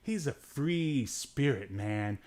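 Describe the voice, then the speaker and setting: A man's voice: medium pitch, lively intonation, slow pace, darkish tone. A man hosting a podcast, casually reviewing a movie in a rambling, joking way with sarcastic asides. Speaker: podcast host.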